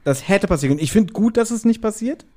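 A man hosting a podcast, casually retelling a story in an animated way and acting out short quotes. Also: high-pitched, quick pace. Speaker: podcast host. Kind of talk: casual chatty episode recap.

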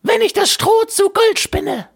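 A man voicing a small, sly, bargaining little gnome in a slow, squeaky, creaky falsetto for a fairy tale. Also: expressive, heavily acted. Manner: slow, exaggerated, swooping.

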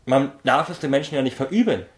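Man from Berlin, blunt, direct, slangy, lively swinging pitch, mid-pitched. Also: fast talker. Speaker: guest. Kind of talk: casual podcast conversation.

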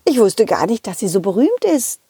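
A woman's voice: medium pitch, sing-song, moderate pace, mellow, slightly rough, no echo, studio quality, heavily acted. A woman voicing a confident, loyal, friendly dog who tells children's audio stories and speaks straight to young listeners. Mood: cheerful, friendly. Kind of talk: children's audio play narration.

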